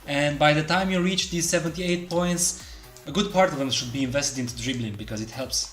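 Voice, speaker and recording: Male co-host, casual and jokey, a little high-voiced, roomy echoey recording. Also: fairly bright tone, soft background music. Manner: joking, quick asides, banter. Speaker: co-host of a gaming tips show. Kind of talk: casual scripted gaming chat.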